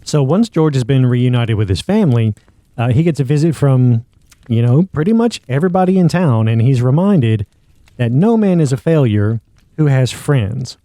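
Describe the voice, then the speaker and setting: Dark, mellow-voiced man hosting a podcast. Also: mid-range voice, expressive, moderate pace, dry recording. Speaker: podcast host. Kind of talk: conversational narration of a story recap.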